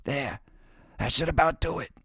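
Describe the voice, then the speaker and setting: A man reading a friendly young woman who teases gently, calling him sugar in a soft drawl. The voice stays close to the reader's own pitch, dry and near the mic. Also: moderate pace, slightly rough, dry recording, full character voice. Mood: teasing. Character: Southern-talking young woman, teasing and friendly. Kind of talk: audiobook character voice.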